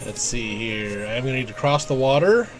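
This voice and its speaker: A man's voice: medium pitch, lively intonation, normal speed, soft background music. A man giving casual live video game commentary, talking to himself with wry asides.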